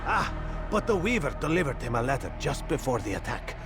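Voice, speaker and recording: A man's voice: medium pitch, big pitch swings, fairly quick, over music. A man playing a fearful, secretive village informant in a video game, talking with conspiratorial urgency. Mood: anxious, secretive. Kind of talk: dramatic game cutscene acting.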